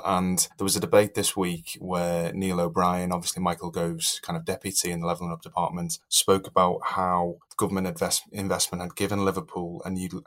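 A man's voice: sits low, fairly bright tone. A man working as a podcast journalist, putting informal, discursive questions in a political interview.

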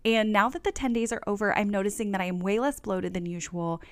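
A woman's voice: mid-range voice, steady tone, quick pace, no echo. A woman hosting a wellness podcast, speaking in an enthusiastic, upbeat way. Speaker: podcast host. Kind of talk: podcast interview host.

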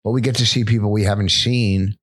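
A very deep-voiced older man talks in a loose, rambling way, recounting stories with false starts and filler. The sound is close and dry, with no room around it. He comes across as a working comedian in casual conversation. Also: fairly even intonation, brisk, dry recording. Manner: rambling storytelling with many restarts. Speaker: stand-up comedian co-hosting a podcast. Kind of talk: casual chat.

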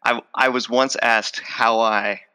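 A man's voice: medium pitch, fairly even intonation, takes it slowly, bright, forceful tone, smooth. A man in his forties, a speech professor who stutters, repeating words and restarting as he answers interview questions. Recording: long hall reverb.

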